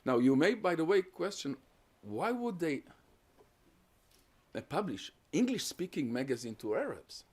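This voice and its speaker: Man giving a measured lecture. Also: on the high side, big pitch swings, breathy edge. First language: Hungarian. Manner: measured, explanatory, builds lists of points. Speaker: guest lecturer on terrorism research. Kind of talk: academic lecture with audience questions.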